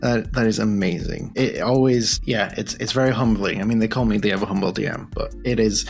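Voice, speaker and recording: A man's voice: on the low side, a little fast, vocal fry, soft background music. A man hosting a podcast in a casual, chatty interview style. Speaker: podcast host, game master.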